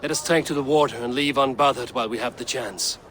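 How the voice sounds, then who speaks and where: A man playing a steadfast, loyal Viking warrior in a game cutscene, with formal, measured speech. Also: mid-range voice, fairly bright tone, lightly acted. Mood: resolute. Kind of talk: dramatic game cutscene acting.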